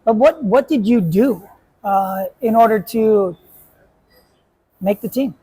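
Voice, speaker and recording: A man's voice: high voice, slow, dark tone, husky, poor audio. A man, a basketball coach, hosting a casual chat and asking questions with a joking manner and many restarts.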